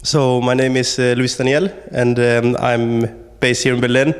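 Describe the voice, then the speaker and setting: A man's voice: medium pitch, little pitch movement, moderate pace, clear, resonant tone, big-room sound. A man from Sweden, a startup founder, answering interview questions hesitantly with many fillers and restarts.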